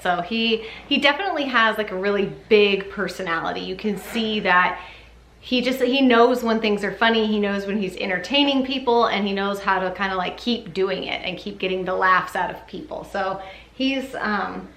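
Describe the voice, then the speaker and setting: A woman's voice: mid-range voice, moderate pace, fairly bright tone. A woman chatting casually to camera as a parenting vlogger, in an informal, chatty way full of "like". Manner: chatty, informal, often says "like". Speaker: parenting vlogger giving a baby update. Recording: echoey room with faint background noise.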